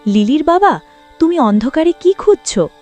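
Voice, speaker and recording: A woman's voice: high-pitched, very animated, normal speed, a little mellow, faint music behind. A woman playing a practical, caring village wife and mother in a cartoon, chiding yet consoling. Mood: worried. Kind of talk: cartoon dialogue and story narration.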